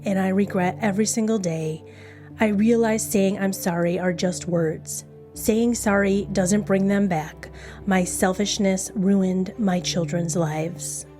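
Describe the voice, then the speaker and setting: A woman hosting a true crime podcast, reading scripted narration. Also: medium pitch. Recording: faint music behind the voice. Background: faint music.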